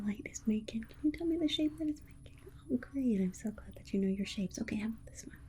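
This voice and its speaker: A woman playing a patronizing yet soothing alien scientist in an ASMR roleplay, softly reassuring her human subject. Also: a little high. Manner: patronizing, soothing, hesitant murmured repetitions. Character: condescending, sweetly reassuring female alien scientist examining a human. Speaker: ASMR performer.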